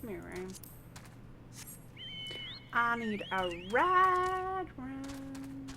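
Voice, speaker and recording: A woman's voice: high voice, very animated, drawn-out words, background noise. A woman giving casual live gameplay commentary, playfully thinking aloud as she goes. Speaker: game streamer.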